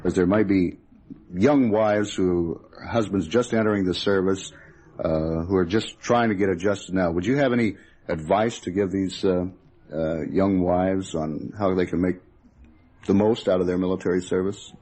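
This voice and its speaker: An American man conducting a polite, formal radio interview, putting his questions in a hesitant, halting way. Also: deep voice, a little mellow.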